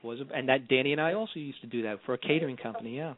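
A man's voice: medium pitch, racing, no echo. Man in his sixties, New York accent, chatty rambling storyteller, dry tinny phone-like sound. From New York, Long Island. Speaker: guest author. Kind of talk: casual podcast interview.

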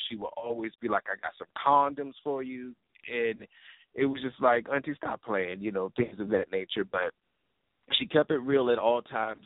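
A man hosting an internet radio show in a casual, informal, rambling, slangy way. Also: medium pitch. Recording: roomy, phone-like internet radio line.

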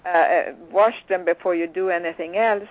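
The sound is narrow and tinny, like a phone line on the radio. A woman with a Swedish accent hosts a casual interview. She speaks haltingly, often repeating a word before moving on. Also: on the low side, steady tone. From Sweden. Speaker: radio show host.

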